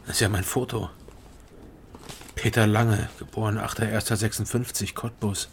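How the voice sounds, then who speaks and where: A man's voice: on the low side, fairly even intonation, normal speed, creaky, slight background noise. An actor playing a sober, guarded East German man who tells his story tersely in a radio crime drama. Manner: terse, understated. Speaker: narrator and lead character. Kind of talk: radio crime drama, first-person narration. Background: music.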